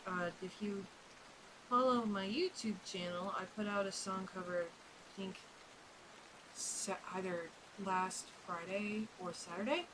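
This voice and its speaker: A young woman streaming while drawing, talking in a rambling, chatty way full of fillers, her voice slightly raspy. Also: mid-range voice, measured pace, background noise. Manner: rambling, chatty, self-interrupting with frequent fillers. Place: home streaming setup.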